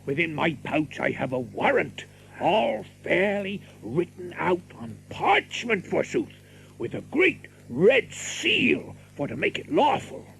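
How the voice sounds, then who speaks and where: A stout, blustering tinker in a theatrical adventure drama. His voice is high and gravelly, and he draws his archaic words out slowly. He is boastful and quick to take offence. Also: huge pitch swings, a bit bright, full character voice. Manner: blustering, exclamatory, chuckling, drawn-out delivery. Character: boastful, hot-tempered stout tinker, older than Robin.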